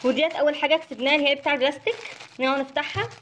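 Egyptian woman doing kids unboxing narration, really fast high voice, bit of background noise. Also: fairly even intonation, a bit bright. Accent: Egyptian Arabic. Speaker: host of a children's toy channel. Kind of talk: casual unboxing narration for children.

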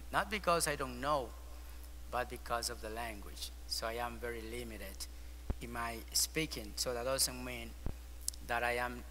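Spanish-accented priest, bright tone, long church reverb. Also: male voice, medium pitch, a little hiss or hum. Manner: hesitant, searching for words with frequent ums. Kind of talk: weekday homily. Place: large ornate church, at the altar. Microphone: far camera.

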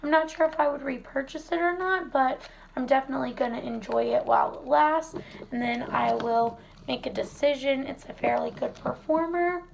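Hoarse woman, very gravelly, high-pitched, unhurried chatty product-review vlogger. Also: slight background noise, poor audio. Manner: chatty, relaxed, many fillers. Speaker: wax melt reviewer. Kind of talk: casual product review vlog. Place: home kitchen, indoors.

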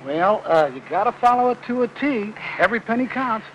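An actor playing a weary, talkative middle-aged mail carrier in a 1950s film, rambling on in a complaining whine. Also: male voice, sits high, lively intonation, a little fast, dull audio. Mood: grumbling, weary. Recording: noisy old film soundtrack.

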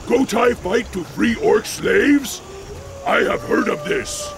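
A brutish orc warrior in a fantasy game, hungry for battle. The voice is extremely gravelly and pitched high, swinging widely through broken, grunted phrases. Music plays behind. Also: male voice, wildly swooping pitch, takes it slowly, background noise, heavily acted. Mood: eager for battle. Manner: broken, grunted short sentences. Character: brash, war-hungry male orc chieftain, brutish and loud. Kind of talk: video game cutscene acting.

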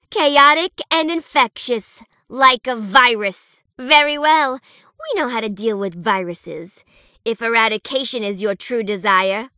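Bright, high-voiced, sinister reaper nurse. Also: female voice, no echo, clean studio sound. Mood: cold, threatening. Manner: sugary bedside politeness laced with menace. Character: perky, sinister female reaper nurse, sweetly menacing. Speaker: video game villain. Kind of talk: theatrical video game character acting.

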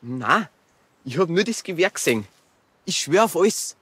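Sullen country teenager. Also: male voice, on the high side, sing-song, normal speed, fairly bright tone. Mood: defensive. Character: sullen, defensive rural schoolboy suspected of shooting. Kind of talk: radio drama acting.

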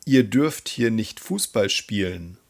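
A man working as a language teacher, reading example sentences in a deliberate, instructional way. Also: fairly low pitch, animated, vocal fry. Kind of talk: scripted grammar drill, reading example sentences.

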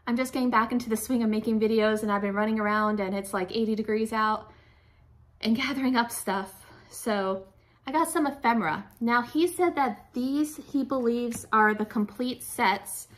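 A woman in her forties with a fairly high voice talks casually to her viewers in a home haul vlog. She sounds slightly out of breath and rambles, often correcting herself as she goes. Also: normal speed. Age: 40s. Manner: rambling, self-correcting, addresses viewers directly. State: a little out of breath. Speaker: reseller showing flea market finds. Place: furnished room at home, indoors.

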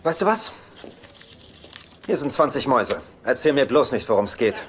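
A man playing a sarcastic, selfish bachelor in a sitcom dub, grumbling and deadpan. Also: mid-range voice, normal speed, close to an everyday voice. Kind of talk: dubbed sitcom dialogue. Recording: noisy TV sitcom soundtrack.

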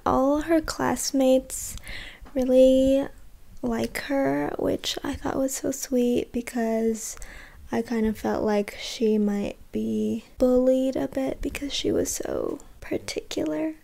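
A woman's voice: sits high, lively intonation, slow pace, vocal fry, mellow. A young woman with a slight lisp chatting casually in a reading vlog. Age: young adult. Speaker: book vlogger. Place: bedroom, indoors. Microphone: desktop or handheld condenser mic, close.

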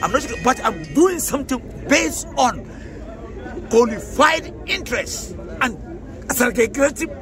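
Emphatic high-voiced Nigerian man outdoors. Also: expressive, slow, over music, crowd noise. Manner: emphatic, grandiose, repeats himself. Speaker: political activist interviewee. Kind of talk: street interview answers. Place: outdoors on an urban street near traffic.

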